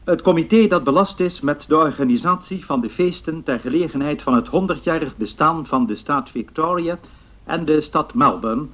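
1930s male newsreader for radio drama; formal, measured, husky voice, bulletin style. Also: fairly high pitch, fairly bright tone.

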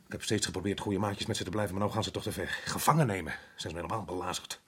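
An actor playing a harried, indignant middle-aged colonial resident in a radio drama, exasperated and sarcastic. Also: male voice, low voice, rapid-fire, background noise, close to an everyday voice. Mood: indignant, bitter.

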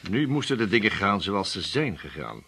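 A man's voice: lowish voice, big pitch swings, moderate pace, bright, forceful tone, a little hiss or hum, heavily acted. An actor playing a genial, shrewd and self-assured male boss in a radio drama. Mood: measured, reading.

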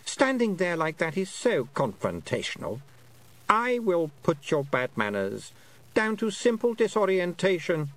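Man playing a high, haughty, mocking fairy Queen. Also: big pitch swings, a bit bright, exaggerated voice. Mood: icy, affronted. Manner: condescending, drawn-out, mock-polite. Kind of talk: audiobook character voice.

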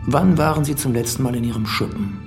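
An actor playing a police inspector in a radio drama, blunt, official and pressing. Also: male voice, mid-range voice, a little fast, over music, natural voice. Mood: stern, pressing. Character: brusque police inspector making an arrest.

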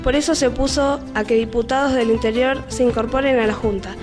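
A woman's voice: on the high side, steady tone, fairly quick, music in the background. A young woman giving scripted historical narration in a student radio play. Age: teenager or young adult.

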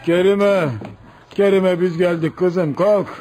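A man's voice: high-pitched, fairly even intonation, slow, crowd noise. An actor playing a weary, protective old village elder in his seventies, in a radio drama with an exclamatory, paternal delivery. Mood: urgent. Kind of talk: radio theatre acting.

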